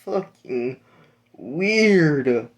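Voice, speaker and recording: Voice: medium pitch, animated, unhurried, natural voice. A storyteller voicing a young male hero who is exasperated and swears constantly.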